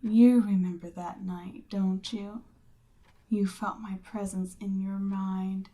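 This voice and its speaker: A woman voicing a seductive, domineering Demon Queen in an ASMR roleplay, breathy and often near a whisper, with a smug, coaxing, commanding manner. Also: mid-range voice, level tone, slow, mellow, close to an everyday voice. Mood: smug, amused.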